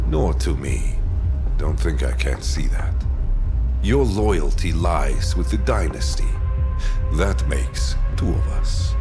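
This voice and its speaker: An actor playing a proud, bitter deposed dynasty commander in a video game cutscene, brooding and theatrical. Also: male voice, low voice, heavily acted. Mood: cold resolve. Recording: loud music behind the voice.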